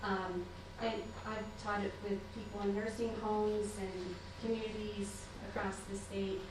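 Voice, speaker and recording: A woman's voice: mid-range voice, flat delivery, a little slow, background noise. A woman from southern Indiana giving an earnest public comment at a city council meeting, chatty, with fillers and small laughs. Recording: echoey room picked up from far off.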